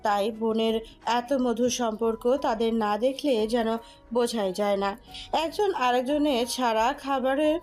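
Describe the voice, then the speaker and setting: A woman's voice: a little high, a little mellow. A woman narrating a scripted dramatic story over music.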